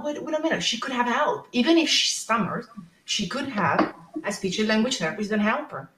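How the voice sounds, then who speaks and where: A woman's voice: a little high, a bit bright. A woman in her thirties, a speech therapist who stammers, talking openly and emotionally on a podcast. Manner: open, emotional, stammers at times. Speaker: guest, speech and language therapist. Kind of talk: podcast conversation. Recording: echoey room.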